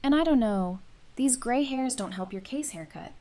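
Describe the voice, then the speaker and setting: A woman's voice: fairly high pitch, lively intonation, moderate pace, dark tone, close to an everyday voice. A woman acting in an audio drama as a teasing, affectionate woman in her early thirties, speaking casually. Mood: playful teasing.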